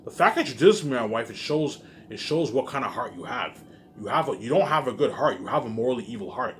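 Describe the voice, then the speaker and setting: Fast-talking agitated man, noisy recording. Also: medium pitch, lively intonation. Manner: agitated, confrontational, repetitive, sweary. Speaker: online video maker. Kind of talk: unscripted rant to camera.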